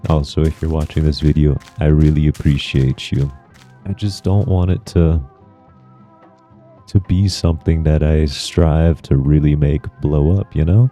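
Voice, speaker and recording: Channel host, male, very deep dark voice, laid-back reflective rambling over gameplay. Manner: rambling, soft-spoken, reflective. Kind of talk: relaxed gameplay commentary.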